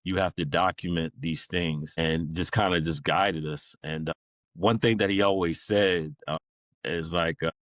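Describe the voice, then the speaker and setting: A man's voice: deep voice, steady tone, unhurried, fairly bright tone, dry recording, clean studio sound. A man, middle-aged, talking informally as an interview guest, rambling through stories in a casual conversational style. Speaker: podcast guest, Navy veteran.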